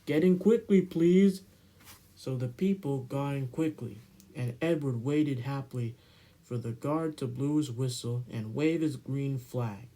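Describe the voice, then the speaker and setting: A man narrating a children's storybook aloud in an amateur, expressive read-aloud style. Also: mid-range voice, unhurried, poor audio.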